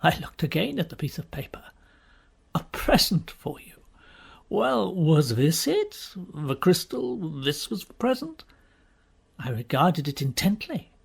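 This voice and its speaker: Male storyteller for audiobook fiction, husky and breathy, theatrical delivery with emphatic pauses. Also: fairly high pitch, sing-song. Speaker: audiobook reader. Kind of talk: dramatic first-person storybook narration.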